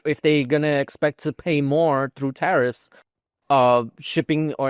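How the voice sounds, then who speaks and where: A man's voice: medium pitch, clean tone, no echo, studio quality. A man co-hosting a casual gaming podcast, talking in a rambling, opinionated way peppered with "like". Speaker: podcast co-host.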